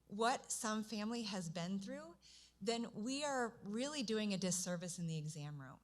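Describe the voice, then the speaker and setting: A soft-spoken woman, a pediatrician, giving an earnest conference presentation. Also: medium pitch. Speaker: pediatrician speaker.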